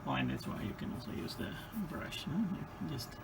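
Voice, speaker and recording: A Dutch-accented man in his forties talks slowly through a repair at his workbench, hesitating as he goes. His voice is gravelly and rises and falls a lot. Steady room noise sits clearly behind him. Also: mid-range voice. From Netherlands. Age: 40s. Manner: thinks aloud step by step, hesitant with fillers. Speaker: hobbyist repairing a CB radio. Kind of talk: hands-on repair vlog.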